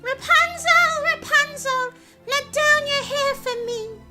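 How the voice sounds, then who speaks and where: A woman voicing a wicked, commanding witch in a children's fairy tale, shrill and scolding. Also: steady tone, slow, loud music behind the voice. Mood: calling out. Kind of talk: children's storybook character voice.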